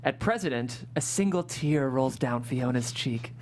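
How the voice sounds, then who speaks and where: Animated woman host with a mid-to-low voice. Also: unhurried, a little hiss or hum. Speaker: host and narrator. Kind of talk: lively panel hosting and script reading.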